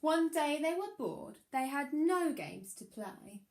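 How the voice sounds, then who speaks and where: Slow, expressive woman reading a storybook. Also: high-pitched. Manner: expressive, dramatic emphasis on rhyming lines. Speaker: primary school teacher reading aloud. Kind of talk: children's picture book storytelling.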